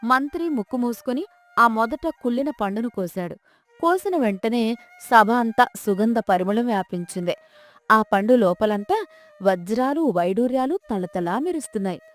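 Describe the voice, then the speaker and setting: A woman's voice: a little high, expressive, moderate pace, faint music behind. A woman narrating a scripted folk tale as its storyteller.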